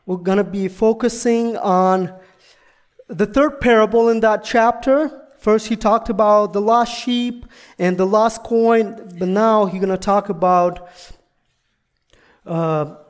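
A guest preacher whose first language is Russian, giving a sermon in halting, deliberate second-language speech. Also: mid-range voice, fairly even intonation. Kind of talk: sermon with prayer and scripture reading.

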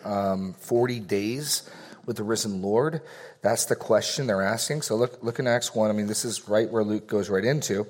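A man leading a Bible study group, teaching casually and taking questions, rambling a little, correcting himself and joking in asides. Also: fairly low pitch.

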